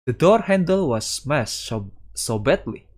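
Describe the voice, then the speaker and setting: Slow, expressive male VTuber reading aloud. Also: medium pitch. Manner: excitable, dramatic. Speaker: VTuber streamer. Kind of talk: visual novel read-aloud with live reactions.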